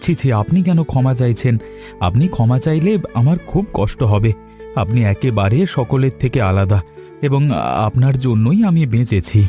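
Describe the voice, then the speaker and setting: A man's voice: medium pitch, lively intonation, normal speed, mellow, faint music behind, close to an everyday voice. A man playing a humble, hardworking village woodcutter in a cartoon, plaintive and earnest. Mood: grateful, earnest. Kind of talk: Bengali cartoon story acting.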